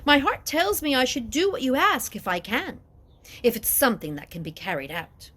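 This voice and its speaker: A woman's voice: high-pitched, expressive, moderate pace, a bit bright, voice pushed up. A woman voicing an obliging young love goddess in an epic tale, deferential and formal. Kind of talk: dramatic epic storytelling.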